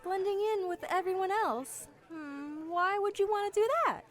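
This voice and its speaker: A woman's voice: extremely high pitch, normal speed. A woman acting a young elf assassin in a fantasy audio drama, charming and sweetly feigning innocence. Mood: bubbly, polite. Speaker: voice actor in an audio roleplay.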